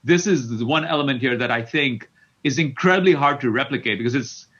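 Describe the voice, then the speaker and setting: A man's voice: mid-range voice, fairly quick, a bit bright, lo-fi sound. A middle-aged man from Kenya answering as an interview guest, repeating words as he thinks aloud. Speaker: tech company CEO, interview guest.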